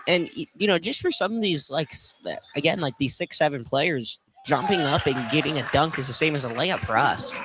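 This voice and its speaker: A man giving conversational live basketball analysis as color commentator, with halting phrasing. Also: fairly high pitch, background noise. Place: indoor college field house, courtside. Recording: live gym broadcast with crowd. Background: game crowd.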